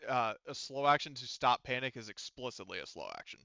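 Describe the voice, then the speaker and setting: A man acting as game master, explaining rules and turns in a halting, explanatory way over an online session. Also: mid-range voice, expressive, vocal fry, pushed and bright, no echo, dull audio.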